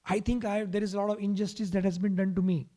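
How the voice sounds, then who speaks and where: A man's voice: high voice, level tone, fast talker, warm tone, voice pushed up, natural voice. A man voicing a naughty three-year-old boy in a storytelling, whining and protesting in a childlike way. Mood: aggrieved.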